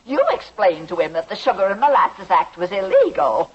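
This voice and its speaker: An actress playing a frantic, accusing colonial wife, overwrought and scolding, in 1950s radio comedy style. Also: female voice, mid-range voice, sing-song, normal speed, husky. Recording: muffled old radio recording.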